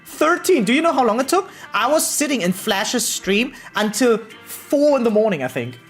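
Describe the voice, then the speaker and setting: An excitable gaming streamer chatting casually and slangily on a livestream, swearing freely. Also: medium pitch, normal speed. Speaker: variety game streamer. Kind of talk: casual livestream chat about gacha games. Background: background music.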